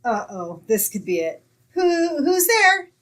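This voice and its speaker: A woman acting a sensible, sarcastic, exasperated roommate in an old-time radio comedy, with a husky voice and dry, impatient delivery. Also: high-pitched, very animated, takes it slowly, a little hiss or hum, full character voice. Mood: resigned, brisk.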